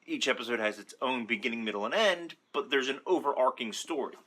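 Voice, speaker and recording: A man's voice: medium pitch, quick pace, bright, forceful tone. A man giving casual, unscripted opinion commentary in an informal, rambling way, correcting himself as he goes and sometimes swearing. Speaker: video commentator.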